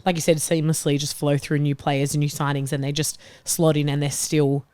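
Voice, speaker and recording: A man's voice: fairly high pitch, level tone, fairly quick, darkish tone, clean tone, no echo. A man in casual podcast chat, acting out imagined reactions and often saying "like". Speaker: football podcast panellist.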